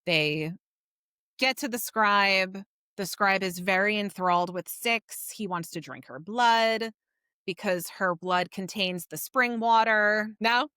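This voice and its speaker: A woman's voice: mid-range voice, slow pace, pushed and bright, clear, resonant tone, no echo, clean studio sound. A woman co-hosting a podcast, casually recapping and discussing a book in an informal, explanatory way. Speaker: podcast co-host.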